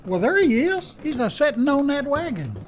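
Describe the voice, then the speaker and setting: A man's voice: extremely high pitch, very animated, normal speed, slight background noise. A man with an American accent playing a folksy, deferential frontier lawman's helper in a western radio drama, with a drawling country way of talking. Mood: curious, eager.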